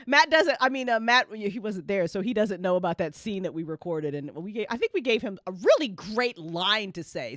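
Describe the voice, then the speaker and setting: A woman's voice: medium pitch, a bit bright, no room sound. A woman hosts a casual after-show with a playful, gushing manner. Her mid-range voice swings freely up and down, and she often doubles back on a phrase for emphasis. The sound is close and dry. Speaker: host and show creator. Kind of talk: casual after-show chat, questioning guests.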